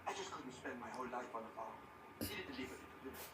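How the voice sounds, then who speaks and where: An actor playing a humble, sincere young farm-born recruit in a video game. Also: male voice, mid-range voice, animated, fast, close to an everyday voice. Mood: sincere, shy. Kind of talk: dramatic game dialogue. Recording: roomy, distant, very noisy game capture.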